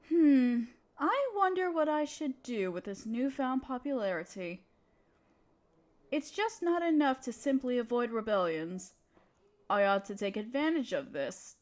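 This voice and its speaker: Evil queen for fantasy audio drama, female performer: high-pitched, haughty and conniving, grand theatrical delivery, talks down to everyone. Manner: imperious, theatrical, condescending. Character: scheming evil queen, haughty tyrant gloating to her advisor. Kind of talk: scripted roleplay audio drama monologue.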